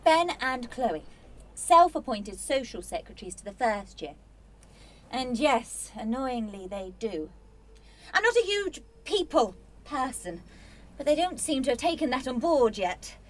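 An actress playing a guarded, blunt young student in a radio drama, speaking in a clipped, defensive way. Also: female voice, high-pitched, very animated, a little slow, heavily acted. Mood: wry, curious. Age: young adult.

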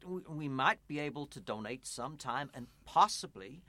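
A man's voice: mid-range voice, expressive, moderate pace, sharp tone, no echo. A man acting in a radio play as a kindly but evasive church pastor, stammering and placating in naturalistic dialogue. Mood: placating, encouraging.

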